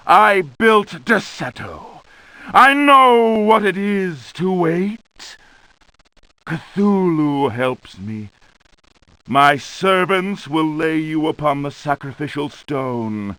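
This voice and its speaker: An undead evil spirit from a horror video game, gloats with theatrical menace. His voice is bright and forceful, crisply clear and recorded dry. He draws out his grandiose taunts like a villain savouring his power. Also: a little high, animated, no room sound, heavily acted, low-quality recording. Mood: gloating menace. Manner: grandiose, drawn-out, taunting delivery.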